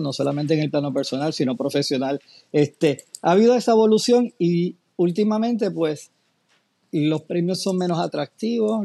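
A man's voice: fairly high pitch, mellow, no room sound. Eager Puerto Rican man, raised voice. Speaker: pageant expert panelist. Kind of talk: casual talk-show chat.

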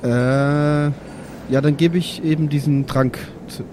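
Higher-voiced man role-playing at a tabletop. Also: fairly even intonation, slow pace, noisy background. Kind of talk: improvised in-character role-play.

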